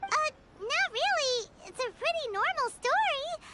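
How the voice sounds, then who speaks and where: A woman voicing a tiny chirpy floating girl companion in a video game, squeaky and childlike, talking about herself in the third person. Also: expressive, a little slow, bright, forceful tone, full character voice. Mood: chatty. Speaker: companion character. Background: game music.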